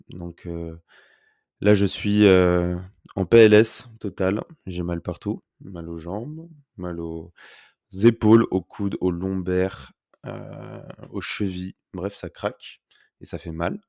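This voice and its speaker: A man's voice: sits low, gravelly, darkish tone, dry recording, clean studio sound. A young man hosting a casual solo podcast from home, talking through a blocked nose with a sore throat, chatty and upbeat with many fillers. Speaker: podcast host. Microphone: close podcast mic.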